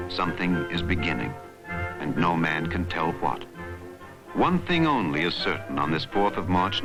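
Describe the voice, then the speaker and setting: A man narrating a historical documentary in a solemn, dramatic, measured newsreel style. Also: deep voice, poor audio. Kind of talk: scripted historical documentary narration, mid-century newsreel manner. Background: music.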